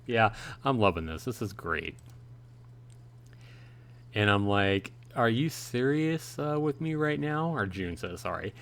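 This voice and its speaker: Male game streamer, lower-pitched voice, casual read-aloud of story dialogue with off-the-cuff reactions. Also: lively intonation, a little slow, creaky, a bit bright. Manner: reads the dialogue aloud, then paraphrases and reacts. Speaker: streamer, host of a live play-through. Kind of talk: reading a visual novel aloud with casual reactions and commentary.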